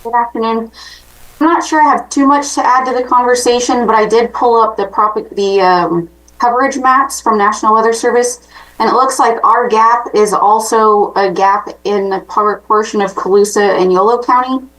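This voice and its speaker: A woman's voice: fairly high pitch, moderate pace, a bit bright, far from the mic, rough recording. A woman staff member giving informal, tentative remarks at a public county meeting, in a conversational way.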